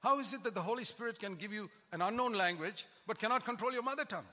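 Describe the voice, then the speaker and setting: Bright-voiced preaching man in a hall. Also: sits high, lively intonation, fast. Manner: emphatic, repetitive preaching cadence. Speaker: pastor. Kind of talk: sermon.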